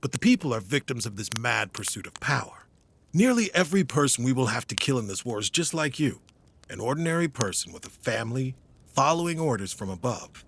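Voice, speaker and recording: An actor playing a seasoned, burdened hero knight commander in an audio drama, speaking earnestly and with grave authority. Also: male voice, medium pitch, big pitch swings, a little fast, gravelly, a bit bright, slight background noise. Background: dramatic background music.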